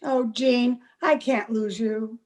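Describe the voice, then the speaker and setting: An anxious young sweetheart in an old-time radio drama, played by a woman. Her high voice is earnest and fretful, devoted and persistent, with a melodramatic delivery. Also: fairly even intonation, slow pace, a little mellow. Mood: pleading. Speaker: amateur radio play actor. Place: home, over a video call.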